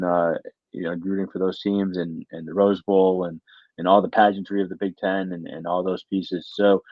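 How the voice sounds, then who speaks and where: A middle-aged American man from Michigan, a university faculty member giving earnest, conversational interview answers in a halting, word-repeating way. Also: low voice, no echo, narrow, tinny audio. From Michigan, United States.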